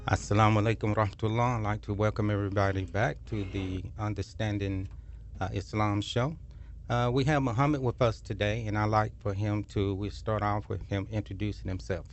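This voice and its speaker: A man's voice: lowish voice, a little fast, lo-fi sound, slight background noise. A man hosting a community radio talk show, chatting casually with frequent fillers and repeating details. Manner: relaxed, repeats phone numbers, frequent fillers.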